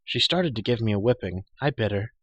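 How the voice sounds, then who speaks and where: A man's voice: on the low side, animated, fairly quick, husky, dry recording. A man voicing a chatty, bossy young girl of royal birth in a storybook, prattling matter-of-factly and scolding. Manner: prattling, matter-of-fact, indignant. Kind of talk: storybook character voice.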